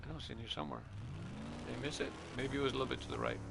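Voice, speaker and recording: A man giving casual, relaxed commentary while playing a racing game, talking half to himself. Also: mid-range voice, very fast, gravelly, very noisy. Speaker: gaming streamer.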